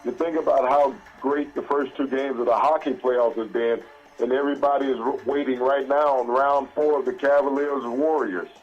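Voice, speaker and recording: A man's voice: medium pitch, steady tone, moderate pace. Man from the south, sports radio co-host, dull lo-fi sound, faint music behind. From American South. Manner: folksy, stumbles and repeats words. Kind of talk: casual sports talk radio banter.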